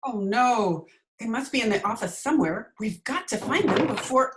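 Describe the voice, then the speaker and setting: Sardonic radio comedy schoolmarm, female actor: quick-witted, swooping intonation, noisy remote recording with clicks. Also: fairly high pitch, lively intonation, lightly acted. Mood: surprised, concerned. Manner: dry, sardonic. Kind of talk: old-time radio comedy acting.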